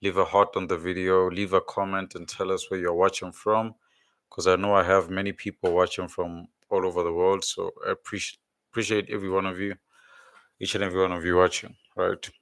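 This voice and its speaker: A man's voice: sits low, little pitch movement, fairly quick, a bit bright, dry recording, clean studio sound. A man hosting a casual religious livestream, congested with a blocked nose and sore throat, rambling and repeating words as he searches for them. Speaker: livestream host.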